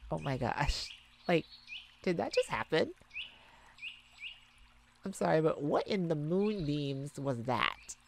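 A woman's voice: low voice, slow, vocal fry, pauses often, slight background noise, heavily acted. A woman playing a kind, protective, flustered young mage in a romantic ASMR roleplay, hesitant and stammering. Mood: incredulous, embarrassed. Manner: hesitant, stammering, soft-hearted. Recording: steady outdoor birdsong ambience behind voice.

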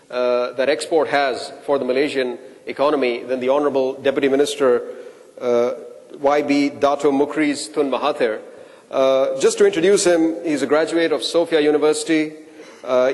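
A man's voice: mid-range voice, darkish tone. A man acting as event host, summing up earlier talks and introducing the next speaker in a casual way, with many fillers and repeated words. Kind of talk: conference emcee talk. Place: conference hall. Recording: echoey conference hall.

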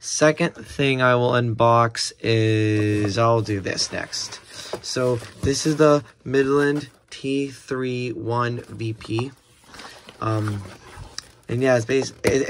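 A man's voice: medium pitch, a bit bright. Hesitant man rambling, with background noise. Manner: hesitant, rambling, self-correcting. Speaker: unboxing video host. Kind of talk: casual unscripted unboxing commentary. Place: indoors at a table. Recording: clear background noise, handling clicks.

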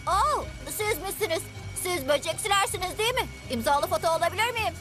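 A woman voicing a small, excitable and fretful insect in an animated cartoon dub, exclaiming eagerly. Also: extremely high pitch, brisk, music in the background. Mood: starstruck.

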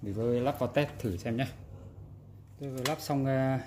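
A man's voice: medium pitch, steady tone, brisk, a little mellow. A man giving a casual, hands-on repair explanation in an informal, demonstrative way. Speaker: repairer presenting a repair video. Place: indoors at a repair workbench. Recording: background noise, room ambience.

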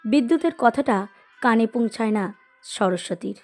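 A woman reading a scripted literary story as narrator, in an expressive storytelling style. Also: fairly high pitch, normal speed. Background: music.

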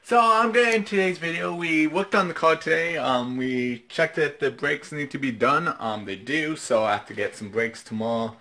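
A man in his twenties vlogging casually into a handheld phone, halting and repetitive, often trailing off into vague asides. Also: on the high side, pushed and bright. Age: 20s. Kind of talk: casual rambling vlog talk. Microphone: phone at arm's length. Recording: faintly noisy handheld phone recording.

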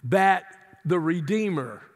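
Middle-aged pastor guy preaching slow n emphatic, big echoey church hall. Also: high voice, lively intonation. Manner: earnest, emphatic preaching with wide hand gestures. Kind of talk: sermon. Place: large church sanctuary, indoors. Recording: long church hall reverb.

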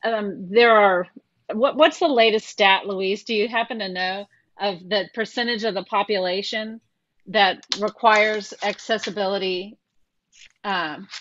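A woman's voice: mid-range voice, measured pace, fairly bright tone, dry recording, dull audio. A woman presenting a talk over a video call, chatty and asking her listeners questions. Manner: conversational, asks the group questions.

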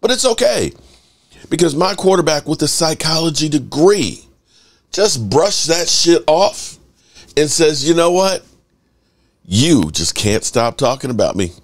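Hoarse male sports podcaster, thirties, home studio, desk mic, slow and animated. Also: a little high. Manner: animated, profane, drawn-out delivery. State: slightly hoarse. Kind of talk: fired-up fan commentary. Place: home studio room full of memorabilia. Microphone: desk microphone.

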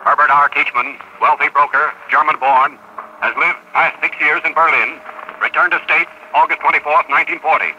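A muffled, faintly noisy 1940s radio recording has a filing clerk on a two-way radio. His forceful, piercing voice reads out a terse, factual report. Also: fairly high pitch, fairly even intonation, a little fast. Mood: matter-of-fact. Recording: thin, hissy, muffled old radio.

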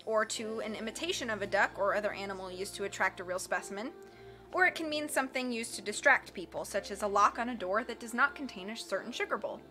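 A woman voicing a timid, bookish librarian man who tries to sound calm. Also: medium pitch, fast, soft background music. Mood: nervous calm. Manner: quiet, trying to sound calm. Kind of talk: audiobook character voice.

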